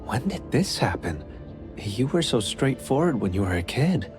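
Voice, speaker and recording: An actor playing a calm, courteous, enigmatic man in an anime-style game, speaking in a measured, polite way. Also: mid-range voice, over music, close to an everyday voice. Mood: gentle, composed.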